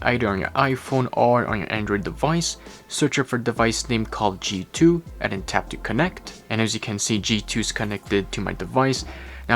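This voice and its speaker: A man's voice: lowish voice, fairly bright tone. A man narrating a tech gadget review in an explanatory, step-by-step way. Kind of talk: product review voice-over.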